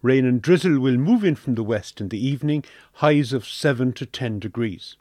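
A man's voice: mid-range voice, animated, normal speed, a little mellow, no room sound. Irish man reading weather, dry acoustic. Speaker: community radio weather reader. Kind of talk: scripted weather forecast reading.

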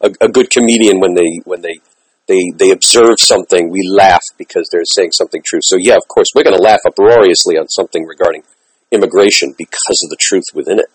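Husky-voiced male interview host. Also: sits low, fast talker, dry recording, low-quality recording. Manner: chatty, restarts phrases, enthusiastic. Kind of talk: radio interview.

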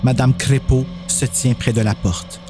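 A man's voice: medium pitch, fairly even intonation, measured pace, music in the background. A man narrating a dramatized horror story as host and storyteller.

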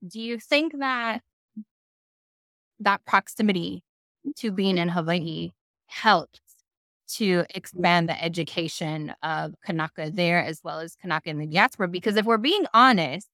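A woman's voice: fairly low pitch, measured pace, sharp tone, dry recording. A woman moderating a panel discussion in a conversational way, posing questions to guests.

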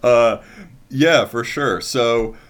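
A man's voice: mid-range voice, very slow, fairly bright tone. A man interviewing a guest in casual conversation, rambling with many hedges and fillers and laughing easily.

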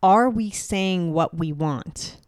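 A woman hosting a solo podcast, speaking directly and clearly with a booming voice. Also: takes it slowly, no echo, studio quality. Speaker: podcast host.

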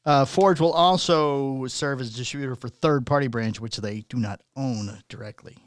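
Casual man with a clear, dry voice. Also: mid-range voice, moderate pace, dry recording. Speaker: podcast co-host reading news. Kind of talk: casual banter mixed with read-aloud news items.

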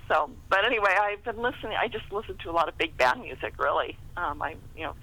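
A woman's voice: lowish voice, expressive, fast talker, pushed and bright, poor audio. A middle-aged American woman chatting casually as an author guest, talkative and self-deprecating with little laughs in her speech. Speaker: guest author being interviewed. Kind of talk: casual interview chat.

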